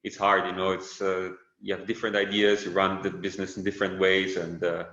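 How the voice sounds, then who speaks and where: A man with an Italian accent, Italian by birth, being interviewed on a podcast and talking in a relaxed, unhurried way with many fillers and self-corrections. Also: low voice. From Italy. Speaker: guest, windsurf brand founder. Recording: roomy, slightly dull sound.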